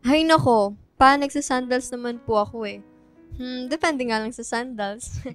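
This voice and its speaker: Tomboy teen daughter, played by a woman, Filipino accent, high smooth voice, bickering older-sister banter for radio drama. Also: normal speed, lightly acted. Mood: sweet. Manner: teasing, bickering. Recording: music bed under the voice.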